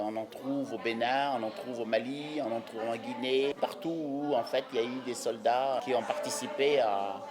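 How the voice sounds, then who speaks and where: A mature man with a medium-pitched voice reflects in an interview. He chooses his words carefully, pausing often. A clear hubbub of people runs behind him. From Senegal, born in Vietnam. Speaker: documentary interviewee.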